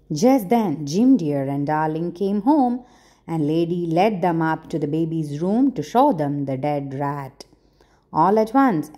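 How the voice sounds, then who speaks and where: A woman reads a children's storybook aloud in a soft, mellow voice. Her pitch rises and falls a great deal as she takes her time over each phrase. The delivery is expressive, with dramatic pauses for young listeners. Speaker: storyteller, channel host.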